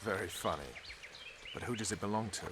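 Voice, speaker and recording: Male actor as gruff commander, lowish voice, dry, terse, wry, video game, clear outdoor water ambience. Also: fairly quick, noisy background, lightly acted. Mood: dry, guarded.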